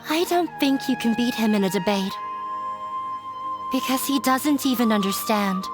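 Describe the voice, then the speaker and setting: An actress voicing a young woman who ran away from a demanding father, speaking in a quiet, resigned way. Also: a little high, animated, moderate pace, natural voice. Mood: bitter. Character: young woman, runaway daughter, hurt and weary. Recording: game audio with faint music.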